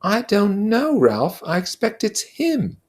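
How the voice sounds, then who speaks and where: An anxious, brainy schoolboy performed by a man in a storybook reading. The voice is lifted high, with an earnest, plaintive insistence and plain, uneducated grammar. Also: wildly swooping pitch, slow, full character voice. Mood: hesitant.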